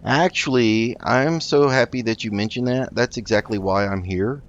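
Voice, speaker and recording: A man improvising in character while playing a video game, speaking politely and haltingly. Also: medium pitch. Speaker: let's play host. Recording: dull lo-fi mic with noticeable noise.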